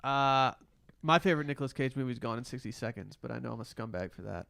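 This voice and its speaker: A man's voice: mid-range voice, quick pace, creaky, fairly bright tone, no echo. A man co-hosting a podcast, chatting informally and bluntly in a slangy recap of a reality show. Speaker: podcast co-host. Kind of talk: casual reality-TV recap chat.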